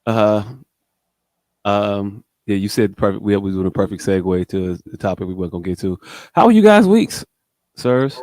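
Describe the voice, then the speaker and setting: A man's voice: fairly low pitch, lively intonation, moderate pace, a little mellow, dry recording. A man chatting casually as a podcast host, with slangy, profane, joking banter and a slight stutter. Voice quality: slight speech impediment with some stutter. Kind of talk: casual chat.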